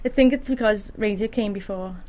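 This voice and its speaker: A woman's voice: medium pitch, brisk, darkish tone. A young woman radio presenter talking casually, in a giggly, chatty way. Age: young adult. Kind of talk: casual chat. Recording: clear background noise, room ambience.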